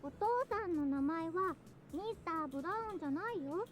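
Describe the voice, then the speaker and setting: A woman's voice: extremely high pitch, very fast, soft background music. An actress playing a blunt, breezy tomboyish young shop girl in an anime game, casual and offhand. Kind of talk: Japanese anime game voice acting. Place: studio game dialogue with music behind.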